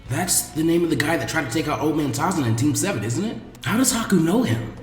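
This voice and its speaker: A man's voice: fairly high pitch, lively intonation, fast talker, echoey room, long reverb tail, soft background music, close to an everyday voice. A man voicing an impulsive boy ninja in a story reading, musing informally and with open emotion. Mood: curious, puzzled.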